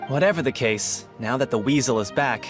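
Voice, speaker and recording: A friendly townsman from a video game, voiced by a man, sharing local gossip in a plain, conversational way. His voice is mid-pitched and relaxed, with faint music behind. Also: fairly quick, lightly acted. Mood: chatty. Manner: conversational, matter-of-fact.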